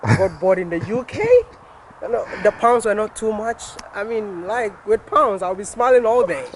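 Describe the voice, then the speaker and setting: High-voiced, teasing male interviewer. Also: slight background noise. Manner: playful, teasing, quick to laugh. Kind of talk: casual on-site interview. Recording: music playing under the voice. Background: music.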